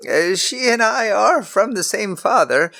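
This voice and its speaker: A man's voice: high voice, animated, measured pace, vocal fry, bright, forceful tone, voice pushed up, full character voice. A man voicing a timid old patriarch who stammers and hesitates. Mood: flustered.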